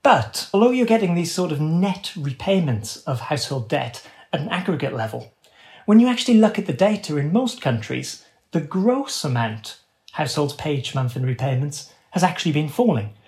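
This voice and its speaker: Higher-pitched man explaining economics in conversation. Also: big pitch swings, normal speed, a little mellow. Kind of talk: conversational economic analysis in an interview.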